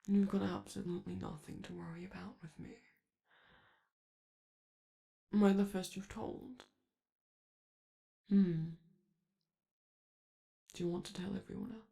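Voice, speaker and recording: A man voicing a flamboyant, affectionate male robot star who speaks softly and teasingly to his partner in a romantic ASMR roleplay. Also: sits high, fairly even intonation, moderate pace, creaky, long pauses. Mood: tender. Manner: soft, doting, teasing, reassuring.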